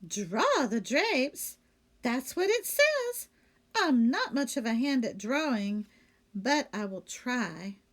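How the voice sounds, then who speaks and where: A woman's voice: fairly high pitch, big pitch swings, takes it slowly, full character voice. A woman voicing a cheerful, earnest, literal-minded housemaid in a children's storybook, folksy and given to musing aloud. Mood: puzzled, willing. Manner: folksy, exclaiming, musing to herself.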